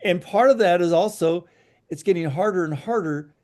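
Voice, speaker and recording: A man's voice: sits high, normal speed, darkish tone. A man co-hosting a podcast, giving frank opinions in casual chat, hesitant and full of fillers. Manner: frank, hesitant, many "you know" fillers. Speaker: podcast co-host.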